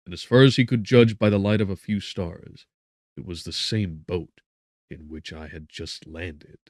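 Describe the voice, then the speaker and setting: A man with a very deep voice narrates gothic fiction. His delivery is dramatic, solemn and measured. The recording is dry, with hardly any room around the voice. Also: dry recording. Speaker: audiobook narrator.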